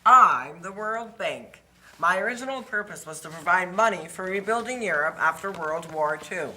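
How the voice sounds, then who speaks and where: Man playing a self-important bank, bright voice. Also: high voice, animated, moderate pace, heavily acted, poor audio. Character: World Bank personified, self-important institution explaining itself. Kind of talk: scripted puppet show dialogue.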